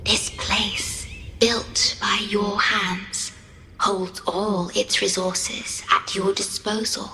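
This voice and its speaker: Slow, soft-spoken, sorrowful island guardian woman. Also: mid-range voice, little pitch movement, roomy sound, in a whisper, full character voice, low-quality recording. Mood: solemn, wistful. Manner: soft, breathy, solemn and measured delivery. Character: gentle, sorrowful female guardian of an island, devoted and reserved. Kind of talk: video game character acting.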